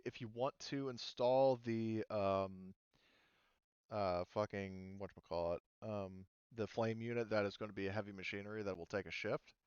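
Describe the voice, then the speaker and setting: A man with a fairly low, clean voice runs a tabletop game. He explains rules casually and hesitantly, working things out aloud. The sound is close and dry, a little dull like voice-chat audio. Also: smooth, dry recording. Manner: hesitant, halting, thinking aloud. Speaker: game master.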